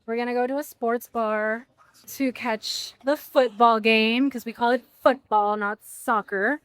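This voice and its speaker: A woman's voice: a little high, steady tone, a little slow. A woman vlogger talking casually in short, simple phrases.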